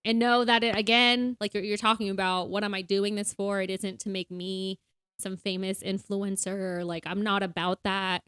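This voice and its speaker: A woman's voice: medium pitch, flat delivery, normal speed, a bit bright, clean tone, dry recording. Young woman chatting on a podcast, lots of fillers, laughs a lot, dry close mic. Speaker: podcast co-host. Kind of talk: casual faith-based podcast chat.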